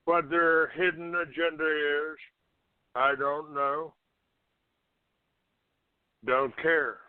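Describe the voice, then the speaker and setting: A man hosting a call-in show, ranting off the cuff in a halting, accusatory and combative manner. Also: on the high side, little pitch movement, smooth, no room sound. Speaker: internet call-in show host. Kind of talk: unscripted talk show rant. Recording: narrow, tinny phone-like line.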